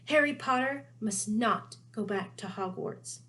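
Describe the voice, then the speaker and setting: Small servile storybook house elf, played by a woman: high, squeaky, fearful, dramatic, pleading. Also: measured pace, pushed and bright, low-quality recording. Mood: urgent warning. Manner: pleading, refers to self in third person.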